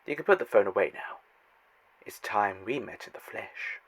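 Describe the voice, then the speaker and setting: A man's voice: on the low side, animated, normal speed. A man playing a grandiose, monologuing villain. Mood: smooth. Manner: grandiose, monologuing, mock-apologetic. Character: scheming, theatrical male villain who toys with a captive human. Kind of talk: fan audio drama acting.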